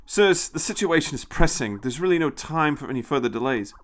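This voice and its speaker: A narrator playing an anxious male official in a space adventure story. He sounds nervous and complaining, a pessimist whose voice lifts above a normal speaking pitch. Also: expressive, fairly quick, close to an everyday voice. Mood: tense.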